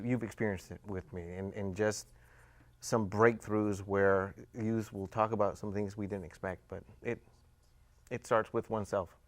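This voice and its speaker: Man, interview guest, deeper voice, halting delivery, close dry recording. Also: no room sound. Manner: halting, searching for words. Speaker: panel guest, juvenile justice volunteer. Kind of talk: unscripted interview answers.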